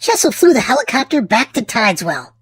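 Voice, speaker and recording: Woman reading a storybook with very animated pitch. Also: slight background noise. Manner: theatrical, drawn-out emphasis for small children. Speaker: children's storybook reader and channel host. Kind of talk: expressive read-aloud narration for young children.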